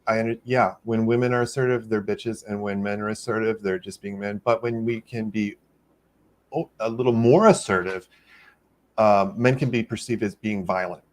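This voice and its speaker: A man's voice: on the low side, fairly even intonation, moderate pace. A male nurse on a panel, speaking earnestly about being assertive at work. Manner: earnest, fillers. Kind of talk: casual panel chat.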